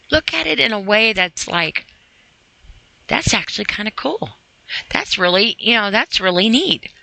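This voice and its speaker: A woman's voice: medium pitch, big pitch swings, measured pace, vocal fry, pauses often, sharp tone, dry recording, rough recording. A woman reading aloud and adding informal motivational commentary in an earnest, conversational way, often speaking straight to the listener. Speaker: podcast host reading and commenting on a sobriety e-book.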